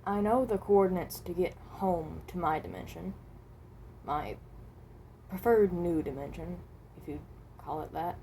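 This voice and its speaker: A woman voicing a reluctant, resigned animatronic rabbit from another dimension in a fan roleplay, speaking haltingly and correcting herself. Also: lowish voice, creaky, mellow, slight background noise. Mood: weary, resigned. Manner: hesitant, halting, self-correcting.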